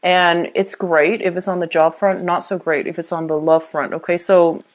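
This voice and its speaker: Woman, fairly low voice, tarot reader, reassuring, conversational, many fillers and restarts. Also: flat delivery, moderate pace, lo-fi sound. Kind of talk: tarot reading monologue.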